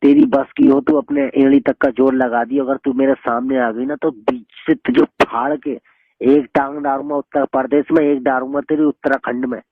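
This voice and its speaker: A man with a gravelly, creaky voice, in a heated argument. He scolds and threatens in everyday colloquial speech. The sound is a dull, dry phone call recording. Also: mid-range voice, expressive, normal speed, dry recording. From Bareilly area, Uttar Pradesh, India. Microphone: telephone.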